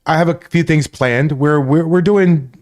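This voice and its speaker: A man's voice: fairly high pitch, moderate pace, a little mellow. A man from Florida hosting a casual live stream, rambling, greeting chat viewers by name and swearing freely. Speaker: livestream host.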